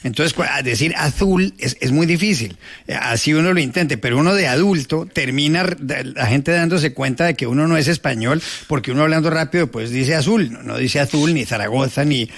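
A man's voice: a little high, a little fast, fairly bright tone. A man from Colombia living in Spain, chatting on a radio show and explaining things with frequent restarts. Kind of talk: casual chat.

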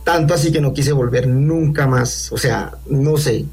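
A man in his thirties with a coastal Colombian accent, calling in to tell a personal ghost story, halting with many restarts and fillers. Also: medium pitch, creaky, a little mellow, breathy edge. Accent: Colombian coastal Spanish. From Colombian Caribbean coast. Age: 30s. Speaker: caller.

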